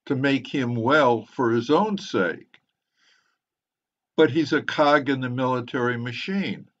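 An older man speaking in a measured, deliberate, professorial way as he moderates an online book discussion. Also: fairly bright tone. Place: indoors, on a video call. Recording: muffled, low-fidelity video call.

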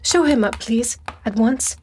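A woman voicing a nervous, polite gentleman in an audiobook, shaken, hesitant and stammering. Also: on the high side, unhurried, slight background noise, pitched above the performer's own voice, close to an everyday voice.

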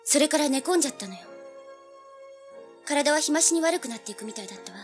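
A woman's voice: high-pitched, lively intonation, fast talker, soft background music. An actress playing a feisty, money-hungry teenage girl with a pert, blunt manner in a dramatized audiobook. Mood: recounting, uneasy.

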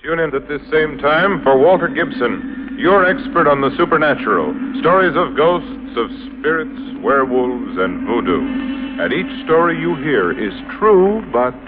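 A man's voice: fairly high pitch, lively intonation, measured pace. A man announcing from a script in a vintage radio style. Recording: muffled old radio recording, music behind.

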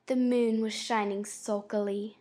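A woman's voice: medium pitch, level tone, measured pace, mellow, close to an everyday voice. A woman playing a pompous, comic twin boy reciting in a dramatized storybook audiobook.

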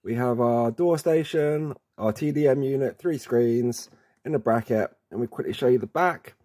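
A man's voice: mid-range voice, normal speed, dark tone. A man presenting an informal instructional setup video, talking the viewer through it step by step and addressing them as guys. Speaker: presenter of product setup tutorials.

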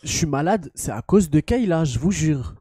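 A young man with a head cold, streaming a game and joking loudly in slangy banter. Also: fairly high pitch, expressive, moderate pace, husky, no room sound, clean studio sound. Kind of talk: casual gaming stream chat.

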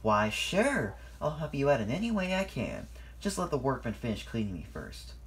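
A sweet and helpful female diesel engine from a storybook, put on by a narrator. She speaks in a raised pitch, forthright and ready to take charge. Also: huge pitch swings, normal speed, fairly bright tone. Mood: sweet, willing.

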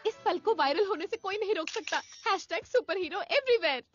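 A woman's voice: extremely high pitch, fairly quick, dull audio, faint music behind. A woman playing an emotional, dramatic young elephant girl in a cartoon dub. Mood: excited. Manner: expressive, dramatic. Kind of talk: Hindi cartoon dubbing.

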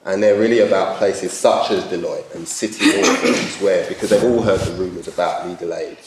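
A man's voice: deep voice, fairly even intonation, a little fast, very noisy. A man chairing a panel discussion in a formal hall, asking wry, rambling questions with restarts. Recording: roomy hall echo.

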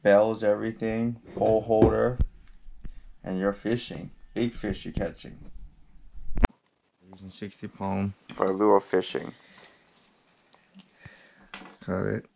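A young man with a low, gravelly voice talks through his fishing gear at an easy, unhurried pace. His delivery stays flat and laid-back, full of ums and restarts. He sounds like he is recorded on an action camera in a cluttered indoor spot. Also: background noise. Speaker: fishing vlogger. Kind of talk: casual gear walkthrough.

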